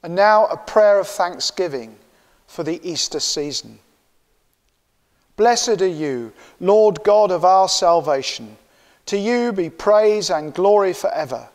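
Older guy leading church prayers, slow, a bit high, echo of a big church. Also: animated. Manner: measured, solemn recitation. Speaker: minister leading the service. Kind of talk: liturgical prayers and confession. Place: church sanctuary, indoors. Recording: long church reverb.

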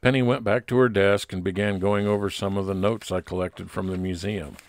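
A man as a low, gravelly private eye narrator. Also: fairly even intonation, brisk, dry recording, close to an everyday voice. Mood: matter-of-fact. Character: Billy, low-voiced 1940s private investigator narrating his case. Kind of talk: old time radio detective narration.

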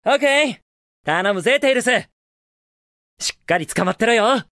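Cocky young hero hedgehog, lively delivery. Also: male voice, very high-pitched, normal speed, no room sound, clean studio sound, heavily acted. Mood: confident, casual. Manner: confident, casual, brash delivery. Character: cocky, upbeat, heroic young male hedgehog, cool and daring. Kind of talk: Japanese video game voice acting, short clips.

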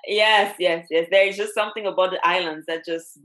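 A woman in her thirties from Cameroon, speaking as an interview guest over a headset on a video call. She talks animatedly, dotting her speech with fillers and quick laughs. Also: lowish voice, fairly even intonation, fairly bright tone. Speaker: travel blogger and doctor, guest. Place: home room, indoors.

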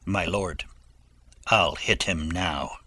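A man's voice: deep voice, slow pace, pushed and bright, husky, no room sound, full character voice. An actor playing a proud young nobleman with intense theatrical delivery in a Shakespeare audiobook. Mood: proud, combative. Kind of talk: dramatic Shakespeare audiobook reading.

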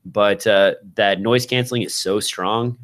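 A man in his thirties with a fairly low voice hosts a podcast. He talks casually about tech gear, speaking closely into a studio microphone. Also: fairly even intonation, unhurried, a bit bright. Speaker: podcast host. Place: small indoor room with shelves of gear. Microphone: broadcast microphone on a boom, close up, with headphones.

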